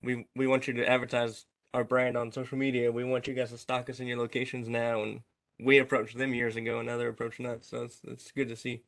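Brief: mid-pitched man, flat monotone delivery, casual business catch-up on a call, frequent ums. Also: fast, creaky. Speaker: business owner on a group call. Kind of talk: casual group video call.

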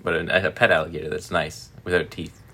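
A man's voice: low-pitched, level tone, fairly quick, pushed and bright, a little hiss or hum. A man in his forties co-hosting a podcast in casual, joking chat, laughing often. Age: 40s. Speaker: podcast co-host.

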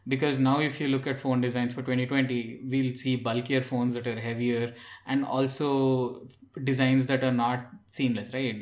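A man's voice: medium pitch, echoey room. A man in a casual tech discussion, talking in an explanatory manner as a podcast panelist.

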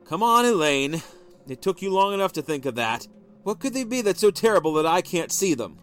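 A volatile husband with an explosive temper, played by a male narrator, his fairly high voice rising and falling as he accuses. Soft music sits faintly behind him. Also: very animated, a little fast, clear, resonant tone. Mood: demanding. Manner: forceful, accusing.

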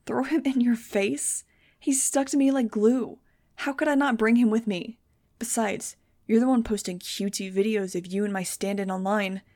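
A woman's voice: fairly high pitch, steady tone, normal speed, a little mellow, natural voice. A woman voicing an angry, blunt teenage girl with wings, snapping back in heated retorts. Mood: indignant.

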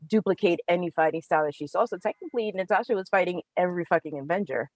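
Woman on a podcast, lowish voice, dry close mic, lively and swears a lot. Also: fast talker, no echo. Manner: animated, profane, laughs often. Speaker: podcast co-host. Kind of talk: casual movie chat.

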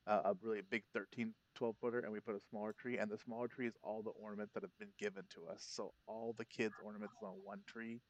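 A man's voice: on the low side, little pitch movement, quick pace, few pauses, a little hiss or hum, low-quality recording. A man in his thirties, a podcast host, telling a rambling holiday story in casual chat, often restarting his sentences. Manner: rambling, self-correcting storyteller.

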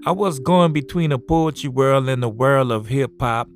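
Measured middle-aged poet from New Orleans. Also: male voice, mid-range voice. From New Orleans, USA. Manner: measured, spells words out. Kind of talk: reading a self-introduction and a poem. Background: soft guitar music.

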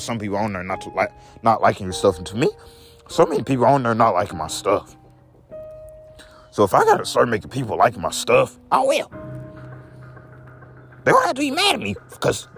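A young man with a deep voice, talking in a rambling, repetitive vlog rant, whose voice jumps high when he gets upset. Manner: repeats each phrase, voice leaps high when upset. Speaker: vlogger.

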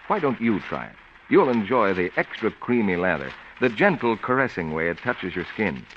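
Smooth, persuasive 1940s radio announcer. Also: male voice, on the low side. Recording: dull 1940s radio broadcast with hiss.

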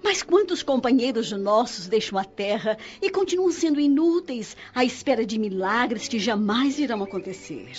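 A woman's voice: on the high side, animated, moderate pace, hardly any pauses, darkish tone, close to an everyday voice. A woman with a Brazilian accent playing a loving, devout spirit mother in a radio drama, tender and solemn as she exhorts her son. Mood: solemn, exhorting. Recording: slightly dull radio drama with music. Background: music.

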